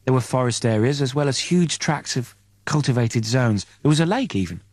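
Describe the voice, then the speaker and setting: A man reading an audiobook as a dramatic, suspenseful narrator in deliberate short phrases. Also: medium pitch, lively intonation, a little mellow, no echo.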